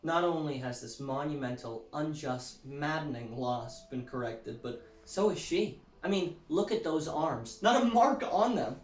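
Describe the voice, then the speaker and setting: An actor playing a showy, self-satisfied celebrity musician, delivering a gushing, theatrical sales pitch with dramatic emphasis. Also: male voice, expressive, unhurried, hardly any pauses, a little hiss or hum. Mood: indignant, smug. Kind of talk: theatrical infomercial-style pitch in an audio drama. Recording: roomy echo, music underneath.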